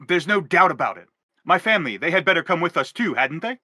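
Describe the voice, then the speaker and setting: Man acting an intense, high-pitched, insistent father. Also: very animated, fast, bright, forceful tone, dry recording, clean studio sound, full character voice. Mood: eager, persuasive. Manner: earnest, insistent, theatrical. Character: intense, persuasive father, a self-dramatizing story character. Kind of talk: stage-play acting in an audio drama.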